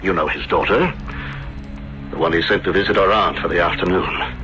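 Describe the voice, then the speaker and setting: An actor playing a proud, jealous, murderous husband in a theatrical 1970s radio drama style. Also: male voice, sits low, moderate pace, pushed and bright, lo-fi sound. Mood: suspicious, accusing. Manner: theatrical, emphatic delivery. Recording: vintage radio drama with music.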